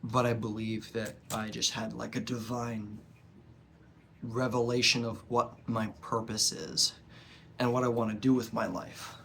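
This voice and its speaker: A young man with a cold and a stuffy nose, talking earnestly and haltingly in a personal reflective monologue. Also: medium pitch, flat delivery, fairly bright tone, slight background noise. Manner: earnest, halting, with frequent pauses. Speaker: vlogger.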